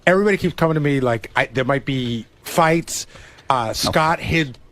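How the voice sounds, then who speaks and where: Male radio staffer, medium pitch, hesitant and gossipy, casual reporting. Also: normal speed, a bit bright. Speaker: radio show staff member.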